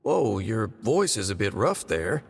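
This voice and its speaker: An actor playing a kind, easygoing postman in a video game, talking in a gentle, chatty, coaxing way. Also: on the low side, big pitch swings, measured pace, creaky, breathy edge, full character voice. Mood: gentle, caring. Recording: background music under the voice.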